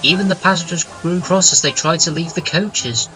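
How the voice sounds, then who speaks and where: A man reads scripted narration for a children's story. His voice sits a little high for a man. Loud music plays under the whole narration. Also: moderate pace, low-quality recording.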